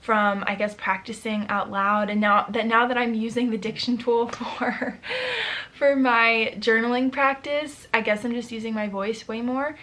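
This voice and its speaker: A young woman vlogging casually to camera, chatty and upbeat, her voice a little hoarse from overuse. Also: on the high side, steady tone, a little slow, fairly bright tone. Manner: chatty, upbeat, with frequent fillers. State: slightly hoarse, losing her voice. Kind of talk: casual vlog talk to camera.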